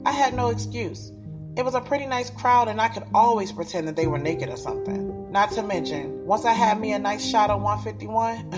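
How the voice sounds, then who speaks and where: A woman's voice: mid-range voice, close to an everyday voice. An actress playing a guarded, skeptical young woman poet in an audio drama, speaking in a candid, conversational, street-smart way. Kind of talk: audio drama dialogue and first-person narration. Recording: music playing behind the voice.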